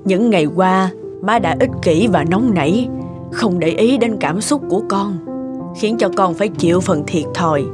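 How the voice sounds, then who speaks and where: A woman voice-acting mild, caring older mothers in an animated moral tale. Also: mid-range voice, normal speed, over music, close to an everyday voice. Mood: apologetic, sorrowful.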